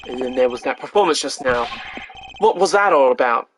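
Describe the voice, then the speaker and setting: A woman's voice: extremely low pitch, animated, a little fast, a little hiss or hum, voice pushed down. A woman voicing a flamboyant, vain male rock-star prosecutor from a video game, in a theatrical, aggrieved manner. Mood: indignant.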